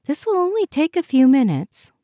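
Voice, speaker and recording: Lady reader doing a perky nurse-type tech woman, pitched way up, chirpy n efficient, audiobook style, dry sound. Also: very animated, moderate pace, warm tone, no echo. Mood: cheerful, businesslike. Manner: chirpy, sing-song reassurance. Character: chirpy, brisk female medical technician, efficient and good-natured. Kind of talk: audiobook character voice.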